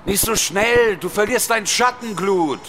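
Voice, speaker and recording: A man's voice: sits high, measured pace, background noise. An actor playing a reluctant, wry, grumbling man who is easily put out, in a radio drama. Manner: wry, complaining. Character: reluctant, grumbling, squeamish friend dragged along.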